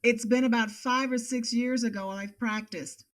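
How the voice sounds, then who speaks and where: A woman playing a guarded professional psychologist testifying in a courtroom drama, formal and measured. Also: a little high, fairly even intonation, lightly acted. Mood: guarded, uneasy. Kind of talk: audio drama acting.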